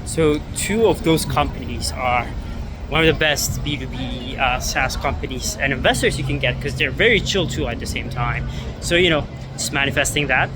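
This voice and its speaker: A man's voice: mid-range voice, normal speed, music in the background. A young man from Ethiopia, a startup founder, talking casually to camera in a rambling way full of fillers and restarts. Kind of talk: casual vlog talk to camera. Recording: city street noise behind.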